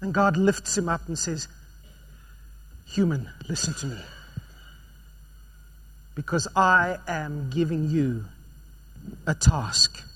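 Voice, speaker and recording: A man preaching a sermon, swinging between loud emphatic passages and quiet, low ones. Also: slow, long pauses, a little hiss or hum.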